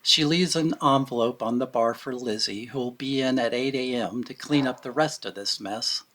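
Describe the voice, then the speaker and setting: A man reads scripted story narration for a radio drama in a measured, storytelling way. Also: mid-range voice, a bit bright. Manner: measured storytelling in present tense, acting out brief quotes.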